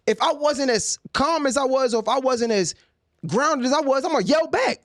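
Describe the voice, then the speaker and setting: A man's voice: extremely high pitch, moderate pace, no room sound, studio quality. A man in his thirties from Baltimore, talking in a heated, emphatic way on a podcast and repeating words as he presses his points. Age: 30s. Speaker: podcast guest, journalist. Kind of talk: heated casual conversation.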